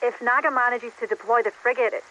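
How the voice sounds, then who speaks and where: A woman playing a composed military intelligence operator in a video game, briefing in a formal, measured way. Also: a little high, steady tone, sharp tone, highs cut off, a little hiss or hum. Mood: concerned briefing. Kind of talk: video game cutscene acting.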